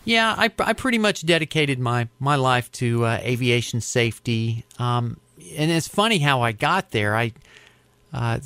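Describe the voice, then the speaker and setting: Middle aged american guy telling stories in an interview, kinda halting and thoughtful, mid pitch, really dry recording. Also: lively intonation, sharp tone, clear, resonant tone, dry recording. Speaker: interview guest, aviation safety advocate. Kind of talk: conversational storytelling interview.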